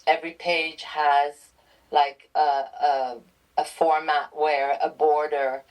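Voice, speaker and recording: Slow-spoken low-voiced woman from Iran. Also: little pitch movement, low-quality recording. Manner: slow, thoughtful, many ums. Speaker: author and guest. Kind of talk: interview answers.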